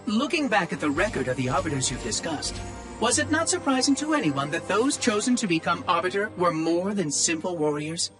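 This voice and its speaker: A fussy, sardonic AI monitor. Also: male voice, a little fast. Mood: wry. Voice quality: high, lively, fussy voice. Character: chatty, sardonic male AI monitor. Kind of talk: video game cutscene acting. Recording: game soundtrack with music underneath.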